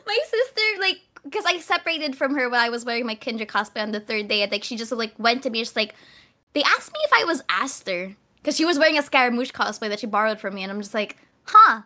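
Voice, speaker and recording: A young woman from the Philippines, a guest content creator, telling stories in animated, laugh-filled casual chat. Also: a little high, quick pace. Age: young adult. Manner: animated storytelling, laughs often between words.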